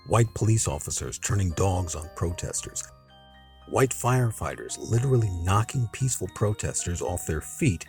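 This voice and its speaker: A man with a very low voice and an American accent narrates a scripted documentary. His delivery is measured and dramatic, in the manner of old-time radio storytelling. Light music plays behind him. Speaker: host and narrator.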